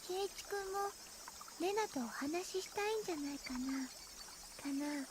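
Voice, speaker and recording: Brief: very high, quick, sweet-but-creepy schoolgirl for a visual novel, with loud cricket noise behind. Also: animated. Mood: coaxing. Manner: soft coaxing voice with sudden sharp outbursts. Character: sweet teenage girl turning eerie and menacing. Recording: game audio over loud cricket ambience.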